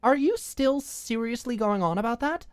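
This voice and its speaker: Young woman acted by a woman narrator, irritated girlfriend, indignant, emphatic, defensive, raised pitch, audiobook drama, dry sound. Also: animated, moderate pace, clean tone, dry recording, natural voice. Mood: annoyed.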